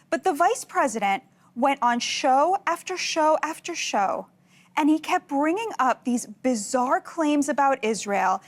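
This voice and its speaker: A woman hosts a television news discussion. She speaks as an opinionated interviewer, framing political questions for her guests in a broadcast style. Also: sits high.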